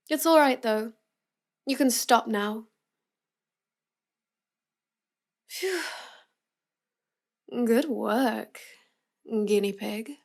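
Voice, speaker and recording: A woman's voice: medium pitch, gravelly. A woman playing a cute, teasing young villainess in an intimate roleplay audio, drawing out her words as she mocks the listener. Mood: smug, pleased. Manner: slow, teasing delivery with drawn-out words and giggles. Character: cute, teasing, mocking young villainess with mind-control powers.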